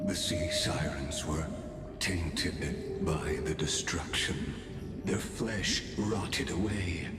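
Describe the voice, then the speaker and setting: A man playing a grave, ominous narrator of a tragic legend in a fantasy game, measured and foreboding, with an echoing voice. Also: rumbling low, exaggerated voice. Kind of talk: solemn dramatic game narration. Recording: echoey long reverb, loud music.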